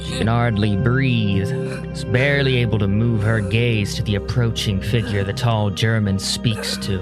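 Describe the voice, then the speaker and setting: A man giving dramatic scripted narration as a storyteller, ominous and deliberate, with pauses. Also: lowish voice, fairly even intonation. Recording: loud music under the voice.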